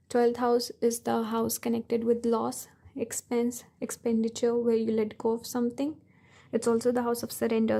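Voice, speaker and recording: A woman giving a pick-a-card tarot reading, her voice hoarse and strained from many readings, talking through her impressions at an unhurried pace. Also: a little high, warm tone. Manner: thinking aloud, unhurried. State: hoarse, tired voice. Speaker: tarot reader.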